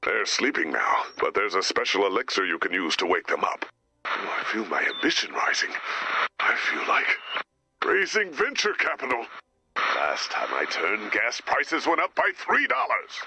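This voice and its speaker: A pompous, menacing businessman werewolf from a video game. The voice is raspy and sharp, swinging wildly in pitch as it delivers boardroom jargon like a threat. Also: huge pitch swings, normal speed, no room sound, heavily acted, rough recording. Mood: strained, ominous. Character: director turning into a corporate-minded werewolf businessman.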